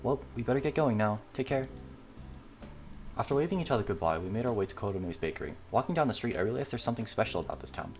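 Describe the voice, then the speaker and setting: A man's voice: mid-range voice, lively intonation, fast, faint music behind. A man reading a visual novel aloud as a gamer, narrating the script. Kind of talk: read-aloud narration of a game script with light character voicing.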